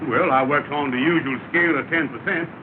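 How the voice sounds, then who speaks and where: An actor playing a scheming lodge leader, persuasive and grandly wordy, in a 1930 comedy film. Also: male voice, a little high, brisk, close to an everyday voice. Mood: wheedling. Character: smooth-talking, scheming lodge leader, a small-time swindler. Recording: tinny, noisy 1930 film soundtrack.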